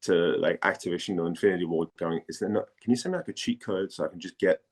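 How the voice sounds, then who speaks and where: A man in a casual interview, telling stories in a chatty, halting way and often rephrasing as he goes. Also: deep voice. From Denmark. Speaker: voice actor and model, interview guest.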